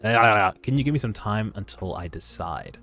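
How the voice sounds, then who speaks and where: Man reading as a low, composed young hunter. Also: lively intonation, normal speed, sharp tone. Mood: cool, composed. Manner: reserved, terse, self-assured. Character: young male rookie hunter, coolly confident negotiator. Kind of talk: webtoon read-aloud dub. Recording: background music under voice.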